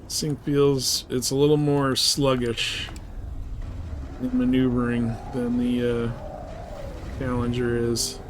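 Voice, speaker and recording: A man's voice: mid-range voice, completely flat delivery, slow pace, long pauses, a little hiss or hum. A man live streaming a space game, giving casual commentary and thinking aloud to himself and his viewers.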